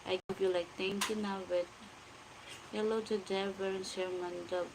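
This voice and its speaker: Gravelly Filipino woman in her forties. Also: mid-range voice, little pitch movement, measured pace, background noise. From Philippines. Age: 40s. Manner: greets viewers by name, reads comments aloud. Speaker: livestream vlogger. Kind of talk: casual livestream chat reading comments. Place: home at night.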